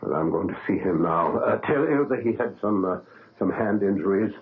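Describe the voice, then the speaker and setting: An actor playing an older male surgeon and father, grave and halting, in a 1970s radio drama. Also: on the low side, animated. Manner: halting, hesitant, many uh's. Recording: tinny old radio broadcast with faint hiss.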